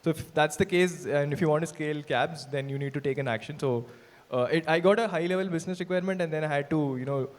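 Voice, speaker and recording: Man, performance marketer, hesitant with lots of fillers, slightly high voice, reverberant hall. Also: fast. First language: not Hindi. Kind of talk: stage talk. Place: large event hall.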